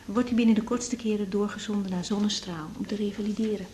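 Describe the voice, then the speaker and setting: A woman's voice: mid-range voice, steady tone, fast, warm tone, slightly rough. An actress playing a young woman doctor in a radio drama, firm, practical and reassuring.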